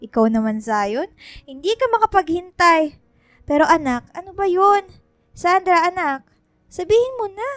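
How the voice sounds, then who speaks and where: An actress playing a doting, excitable and proud mother in a radio drama. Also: extremely high pitch, normal speed, pauses often, no room sound, exaggerated voice. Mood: teasing, curious. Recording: music behind the voice.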